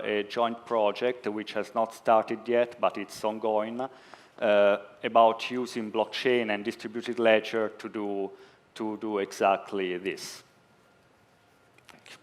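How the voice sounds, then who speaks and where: A low-voiced man talks very slowly on a conference stage. His voice is clear and smooth, but he halts often and fills the gaps with fillers. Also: flat delivery. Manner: hesitant, many fillers. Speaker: researcher answering questions. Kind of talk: conference pitch and Q&A.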